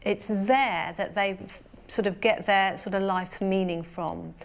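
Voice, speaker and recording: A woman's voice: mid-range voice, steady tone, takes it slowly, creaky. Woman sociologist explaining research findings. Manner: explanatory, with hesitant fillers. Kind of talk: expert interview.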